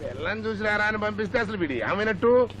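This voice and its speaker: A man's voice: high-pitched, animated, quick pace, fairly bright tone, slight background noise. An actor playing a stingy, suspicious middle-aged father in a film comedy, fretful, scolding and sarcastic. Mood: grumbling. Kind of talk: Telugu film comedy acting.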